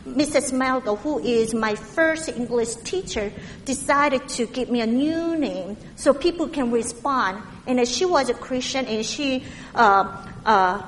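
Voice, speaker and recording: A woman from Korea with a heavy Korean accent, preaching a sermon in a halting way, with many fillers and restarts. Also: a little high, in a large hall, a little hiss or hum, poor audio.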